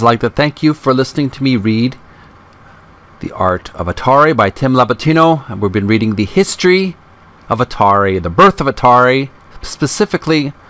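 A man's voice: mid-range voice, a bit bright. A man hosting a channel, reading a book aloud and addressing listeners in a polite, courteous way, sometimes stumbling and correcting himself. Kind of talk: read-aloud narration and channel talk. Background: music.

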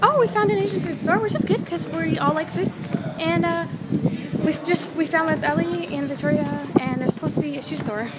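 A woman's voice: very high-pitched, brisk. A young woman chatting casually to her phone as she vlogs outdoors in a city. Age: young adult. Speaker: vlogger. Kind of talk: casual handheld vlog chat. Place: outdoors on busy city streets. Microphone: phone held at arm's length. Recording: noisy street with crowd chatter.

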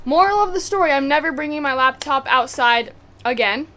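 A woman's voice: sits high, animated, fairly quick, noisy background. A teenage girl chatting to a handheld camera in a casual, bubbly vlog, often saying "oh my gosh", filmed aboard a sailboat and on a sunny beach. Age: teens. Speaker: vlogger. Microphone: handheld action camera at arm's length.

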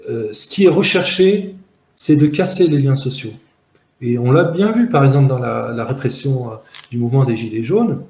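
A man in his forties, originally from Algeria with Arabic as his first language, speaking as an interview guest, earnest and analytical, pausing often with fillers and restarts, talking outdoors into a close camera. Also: medium pitch, lively intonation, a little mellow, reverberant. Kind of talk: reflective testimony in an interview. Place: outdoors in a park-like garden by buildings.

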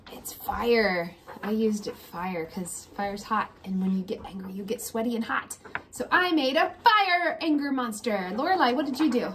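A woman's voice: fairly high pitch, normal speed. A woman in her thirties hosting a casual lesson for young children, encouraging them and prompting with questions. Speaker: children's read-aloud host. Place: small room at home, indoors. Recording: noisy room ambience.

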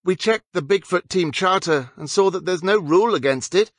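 A hesitant, earnest teenage boy, voiced by a male narrator, pitched up from adult talk. He is careful and nervous, a storybook hero choosing his words. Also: no echo. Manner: halting, careful.

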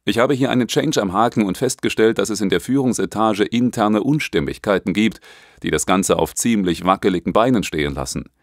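A casual, upbeat male consultant played by a male audiobook reader talks in a breezy, colloquial way with English phrases dropped in. The voice sits low and the sound is very dry. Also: a little fast, no echo, natural voice. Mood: breezy, pitching.

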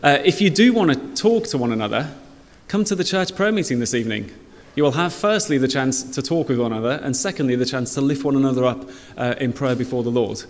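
A man in his thirties leading prayer and dismissing the congregation from a stand mic in a church hall, relaxed and informal. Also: medium pitch, fast, few pauses. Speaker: church leader. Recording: long hall reverb, faint noise.

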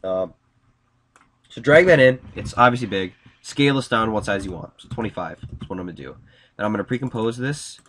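A young man with a stuffy, nasal voice, pitched a little low, talks viewers through a screen-recorded editing tutorial. He is casual and upbeat, filling gaps with plenty of ums and uhs. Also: expressive, fairly quick, a bit bright, a little hiss or hum. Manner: casual, upbeat, many fillers. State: congested from a cold. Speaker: tutorial host.

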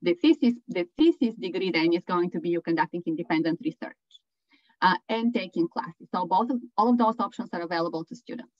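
A woman's voice: lowish voice, expressive, fairly quick. Woman from bulgaria, professor, dull video call audio. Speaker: engineering professor. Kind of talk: explaining a program.

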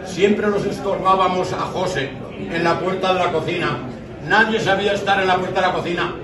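An older man from Spain speaks informally and from the heart, moved and a little choked up. His voice is rather high, heard from across a roomy restaurant with a loud crowd around him. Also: fairly even intonation, normal speed, recorded from a distance. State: emotional, choked up. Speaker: speaker giving a tribute at a farewell dinner. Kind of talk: informal heartfelt tribute speech. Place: busy restaurant dining room, indoors. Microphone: distant camera across the room. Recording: echoey room from afar, loud crowd.

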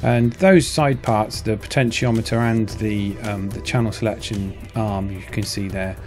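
A man's voice: lowish voice, steady tone, normal speed, a little mellow. A man casually narrating his hobby build in a modest, understated way. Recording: music behind the voice. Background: guitar music.

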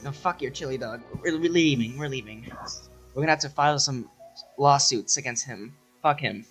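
A man's voice: mid-range voice, normal speed, slightly rough. Exasperated young sorceress played by a man, rambling and crude, improvised comedy dub with music underneath. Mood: urgent. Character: exasperated, foul-mouthed young female wizard with staff. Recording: voice over background music.

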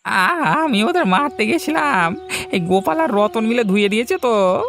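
Man playing a frightened henchman, swooping pitch. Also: expressive, normal speed, fairly bright tone. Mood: aggrieved refusal. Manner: pleading, aggrieved. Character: beaten, frightened young male henchman quitting crime. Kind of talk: cartoon voice acting in a village fairy tale.

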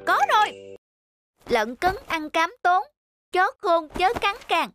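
A cheeky little village boy for a cartoon. The voice is extremely high and bounces around in pitch, with music clearly behind it. He teases with confident, mock-polite cleverness. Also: very animated, takes it slowly, fairly bright tone, heavily acted. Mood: clever, defiant.

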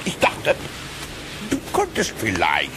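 A man's voice: fairly low pitch, huge pitch swings, slow pace, full character voice. A man playing an effusive, stammering Italian film producer with an Italian accent in a children's audio play. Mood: hesitant. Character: excitable, fawning Italian male film producer. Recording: distant, echoey, noisy cassette tape.

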